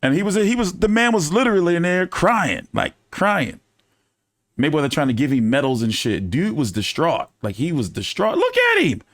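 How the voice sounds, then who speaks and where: A man's voice: on the high side, huge pitch swings, moderate pace, fairly bright tone, no echo, clean studio sound. A man hosting a casual fight-commentary live stream, talking in loose, profane, joking banter. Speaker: boxing commentary host.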